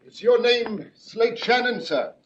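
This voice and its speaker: A man's voice: extremely high pitch, animated, slow pace, heavily acted. Mock-polite menacing gunman, old radio. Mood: falsely cheerful. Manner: formal, mock-courteous, repeats himself. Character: smooth, menacing gunman, mock-polite, talks to silent henchman. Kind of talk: 1950s radio drama acting. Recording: muffled, noisy old radio recording.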